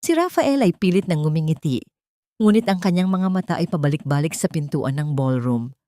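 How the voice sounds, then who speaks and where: Woman audiobook narrator, dramatic scripted storytelling, lowish voice, really dry recording. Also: lively intonation, a little fast, warm tone, no room sound.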